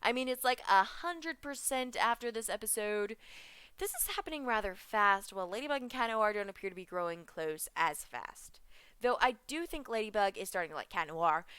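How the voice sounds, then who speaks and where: A young woman chatting in an excited fan reaction vlog, giggling, making puns and drifting off track. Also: on the high side, clean tone, no room sound. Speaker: vlogger reviewing a cartoon episode.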